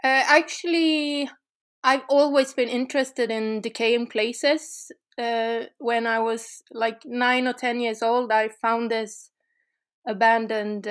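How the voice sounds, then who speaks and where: A Swedish woman guest speaking English in an interview, with a hesitant, thoughtful manner. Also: on the high side. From Sweden. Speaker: guest, urban explorer. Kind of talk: interview conversation.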